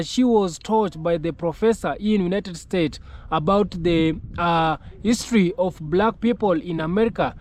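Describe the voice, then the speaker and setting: A man hosting a YouTube commentary channel, talking in halting, repetitive phrases with frequent filled pauses. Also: sits high, darkish tone.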